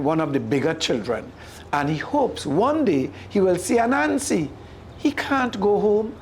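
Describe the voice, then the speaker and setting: Caribbean storyteller, expressive read-aloud delivery, very animated pitch movement. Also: slight background noise.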